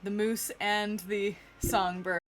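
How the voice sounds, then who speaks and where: A woman's voice: medium pitch, little pitch movement, slow pace, slight background noise, low-quality recording. A woman running a tabletop role-playing game, improvising narration and calling for rolls as game master.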